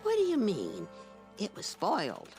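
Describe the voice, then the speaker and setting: A woman's voice: medium pitch, wildly swooping pitch, unhurried, dark tone, soft background music. An actress playing a fussy, complaining older woman in a radio drama.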